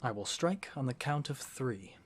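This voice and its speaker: A man acts out a scholarly wizard boyfriend from a fantasy audio roleplay. He has a mid-pitched voice and talks in gentle, courtly phrases. His delivery is intimate and affectionate, as if to a beloved companion. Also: expressive, normal speed, husky. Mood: soothing.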